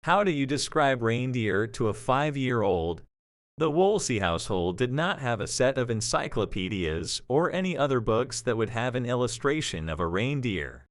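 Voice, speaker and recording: Male narrator for audio drama: measured storyteller, animated pitch movement, mid register, very dry sound. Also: dry recording.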